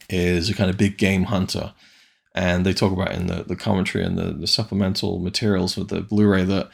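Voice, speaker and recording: A man's voice: sits low, gravelly. A man from Wales giving a casual, rambling film review, full of hesitant restarts.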